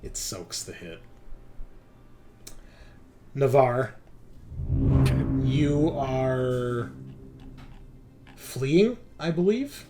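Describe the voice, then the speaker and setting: A man's voice: medium pitch, animated, very slow, long pauses, loud music behind the voice. A man acting as game master, explaining rules and narrating a tabletop roleplaying game in a conversational, explanatory way.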